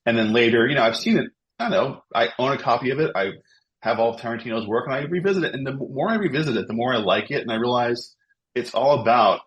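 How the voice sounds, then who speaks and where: A man's voice: mid-range voice, lively intonation, fast talker, muffled recording. A man in his fifties, a podcast guest talking about films with energy and enthusiasm, often repeating words as he goes. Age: 50s. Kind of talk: casual film podcast chat.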